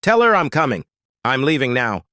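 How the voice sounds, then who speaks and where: A man's voice: mid-range voice, big pitch swings, moderate pace, pushed and bright, no room sound, clean studio sound, pitched above the performer's own voice. A man voicing an anxious young male painter who speaks urgently, his voice strained.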